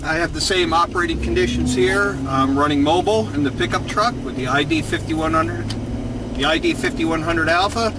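A man with an American accent explains things in a measured way as a radio vlogger, talking while driving a truck. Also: a little high. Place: inside a moving pickup truck. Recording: clear car cabin road noise.

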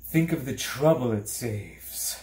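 Storybook villain: a man playing a menacing demon of drudgery, slyly coaxing, unhurried, in a roomy space. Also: medium pitch, sing-song, a little mellow. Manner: drawn-out, theatrical, deceitfully coaxing. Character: villainous male demon of petty tasks, sly and menacing.

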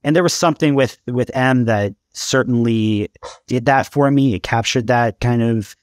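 A man in his thirties from Canada, a podcast guest, talking in a thoughtful, halting way with many fillers over a video call with headphones at home. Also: medium pitch, no echo, clean studio sound. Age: 30s. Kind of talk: casual interview talk. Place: home room with shelves of CDs.

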